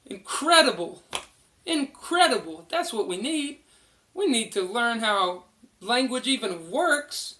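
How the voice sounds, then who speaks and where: A channel host giving a casual, rambling lesson on studying the Bible, getting excited as they jump from one reference book to the next. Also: mid-range voice. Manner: rambling and excitable, jumps between topics; conversational, folksy phrasing. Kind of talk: casual instructional talk, showing books to camera.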